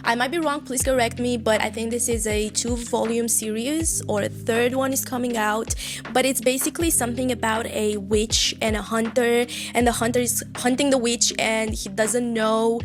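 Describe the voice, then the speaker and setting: A young woman from Eastern Europe talks in a slightly high voice, chatty and rambling, and pokes a little fun at herself. English is not her first language, and she chats straight to the camera from a room lined with books. Music plays clearly under her voice. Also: fairly even intonation, moderate pace. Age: 20s. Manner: chatty, rambling, self-deprecating. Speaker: book vlogger. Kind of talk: casual chat to camera.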